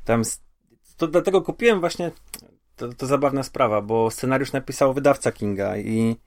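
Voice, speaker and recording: A Polish man talks in a voice of ordinary male pitch. He sounds like a podcast co-host in casual conversation. His phrasing is informal and comes in stops and starts. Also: moderate pace, a little mellow. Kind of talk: casual chat about games and comics.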